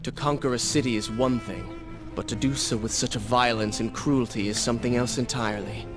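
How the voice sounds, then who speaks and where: An actor playing a proud, earnest young warrior prince with a dry wit in a dramatic video game. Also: male voice, medium pitch, fairly even intonation, brisk, loud music behind the voice, close to an everyday voice. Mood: solemn, resolute.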